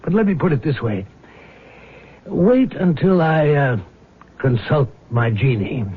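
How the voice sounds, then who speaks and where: An actor playing an ailing, gentle old godfather with a courtly, halting manner in a 1970s radio drama. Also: on the high side, big pitch swings, husky, heavily acted. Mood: kindly, flustered. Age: older. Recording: noisy, narrow-band old radio broadcast.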